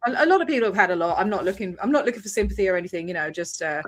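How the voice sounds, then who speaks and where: A woman's voice: mid-range voice, expressive, rapid-fire, crowd noise. An Australian woman hosting a casual livestream chat over a video call, rambling a little with plenty of fillers and frequent laughs. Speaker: livestream host.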